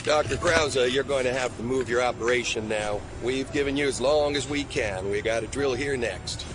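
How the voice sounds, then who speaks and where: A man's voice: mid-range voice, moderate pace, close to an everyday voice. A man playing an impatient, hard-nosed oil drilling foreman in an audio drama, blunt and bossy. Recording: outdoor machinery and vehicle noise.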